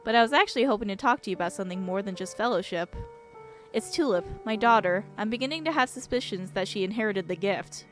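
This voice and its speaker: A woman voicing an earnest, devout young father from a visual novel, speaking in a measured, confiding way. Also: medium pitch, animated, fairly bright tone, faint music behind, natural voice. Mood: hesitant, confiding. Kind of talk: acted reading of visual novel dialogue.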